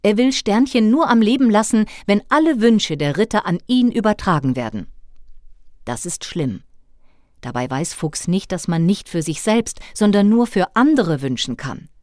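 A woman's voice: mid-range voice, lively intonation, normal speed, a little mellow, no room sound, studio quality. A woman reading scripted narration for a children's audio play.

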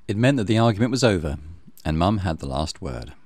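A man reading a visual novel aloud as a let's play host, with expressive storytelling and casual commentary. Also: deep voice, brisk, no echo. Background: soft game music.

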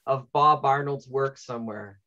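A man's voice: mid-range voice, unhurried, pushed and bright. A middle-aged man moderating a casual panel discussion over a video call. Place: indoor room, video call. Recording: dull lo-fi video call audio.

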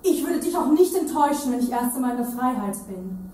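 A woman's voice: high-pitched, lively intonation, fast, dark tone. A woman playing a pleading young girl in a staged reading, in a distant, very echoey, noisy room.

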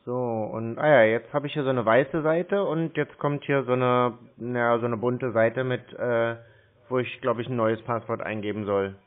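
A man's voice: mid-range voice, steady tone. Hesitant man on a tinny phone line. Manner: hesitant, slow-witted, rambling explanations. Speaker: caller posing as a confused customer. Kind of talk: casual phone conversation.

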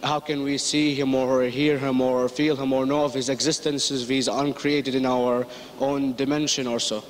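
A man's voice: medium pitch, flat delivery, moderate pace, a little hiss or hum. Hesitant man asking a question at a lecture. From Iraq. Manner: hesitant, rambling, many "um"s. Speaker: audience member asking a question. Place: large lecture hall. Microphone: handheld mic.

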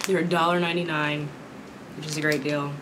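A young woman from Kentucky chatting casually while eating, her voice raspy from a sore throat, rambling with many ums. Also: sits low, flat delivery, brisk, noisy background. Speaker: vlogger. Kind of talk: casual mukbang chat. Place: small indoor room with dark curtain backdrop.

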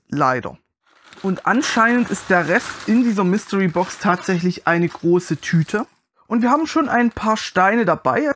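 A man's voice: sits high, fairly bright tone. A man giving casual, wry and critical commentary as he reviews an unboxing.

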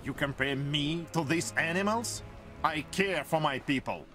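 A man's voice: a little high, a little slow, pushed and bright, faint music behind. A man playing a proud, imperious monarch in a video game, with a stern, haughty and formal delivery. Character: proud, imperious male monarch, the Tsar of Russia. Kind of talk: video game cutscene acting.

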